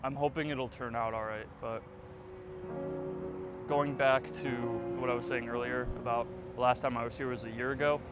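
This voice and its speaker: A man's voice: mid-range voice, steady tone, moderate pace, over loud music. Rambling young man vlogging outdoors. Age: 20s. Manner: rambling, full of fillers. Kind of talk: casual reflective vlog. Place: outdoors, windy.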